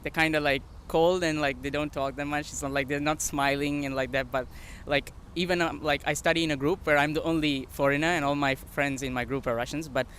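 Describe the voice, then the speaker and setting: A man of twenty-six from Sri Lanka giving a casual street interview, halting and often saying "like". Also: fairly high pitch, fairly even intonation, fairly bright tone. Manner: halting, filler-heavy with frequent "like". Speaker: foreign student interviewee. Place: outdoors on a city street. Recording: outdoor street, faint traffic noise.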